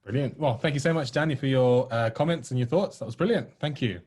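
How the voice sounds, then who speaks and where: A man with a mid-pitched voice hosts an online gathering. He is polite and reassuring as he addresses the audience, often pausing on ums and uhs in an informal, hesitant flow. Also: darkish tone. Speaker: host of an online play table read. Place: online video call.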